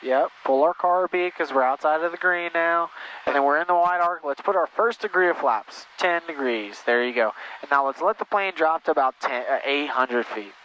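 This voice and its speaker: A man coaches from the cockpit as a flight instructor, in a slightly high voice. He is patient and encouraging, repeating short instructions step by step. The intercom audio is muffled, with faint engine noise beneath it. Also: lively intonation, normal speed, a bit bright. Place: small airplane cockpit in flight. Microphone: aviation headset.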